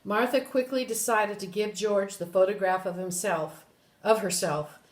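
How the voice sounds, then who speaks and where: An older woman reading a children's story aloud in an expressive way, with brief asides. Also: mid-range voice, moderate pace. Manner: expressive, animated. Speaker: storybook reader. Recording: roomy echo.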